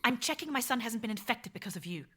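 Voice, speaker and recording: A woman's voice: on the high side, animated, fast, fairly bright tone, slightly rough. A woman acting a fiercely protective, distrustful mother in a post-apocalyptic audio drama, in terse, clipped lines. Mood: cold, curt. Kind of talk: acted audio drama dialogue.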